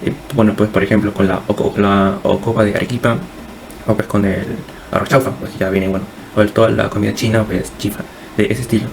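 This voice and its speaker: Fast, even-toned young Peruvian man. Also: on the low side, vocal fry, a little hiss or hum, low-quality recording. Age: young adult. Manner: hesitant, halting with repeated words. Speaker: university student presenting. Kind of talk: informal class presentation.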